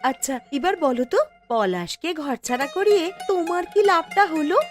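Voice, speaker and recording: Cartoon village wife: high and very animated, fretful, nagging, scolding. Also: female voice, normal speed, soft background music. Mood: teasing, curious. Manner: expressive, nagging. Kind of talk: Bengali cartoon dialogue.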